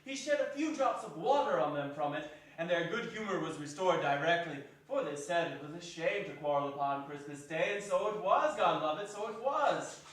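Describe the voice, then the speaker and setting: A man gives a dramatic stage reading of a classic story. His voice is very high for a man and carries from far back in a strongly echoing hall. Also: expressive, normal speed, few pauses, fairly bright tone. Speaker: stage reader, narrator.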